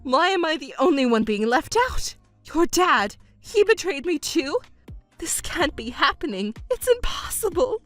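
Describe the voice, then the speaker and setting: Woman playing a shrill, self-pitying middle-aged mother. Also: lively intonation, normal speed, soft background music. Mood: distraught disbelief. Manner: whiny, indignant, melodramatic. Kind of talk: scripted family drama narration.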